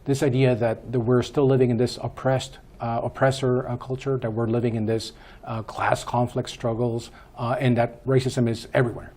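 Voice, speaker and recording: A man in his fifties from Peru, answering interview questions as a guest in a measured way with many hesitations. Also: mid-range voice, flat delivery, a little mellow. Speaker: guest, school board candidate.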